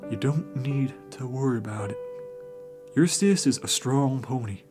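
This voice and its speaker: A man voicing a big, gentle older brother stallion in a storybook reading, soft and reassuring. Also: unhurried, over music, natural voice. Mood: calm, reassuring. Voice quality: low, soft. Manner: calm, reassuring.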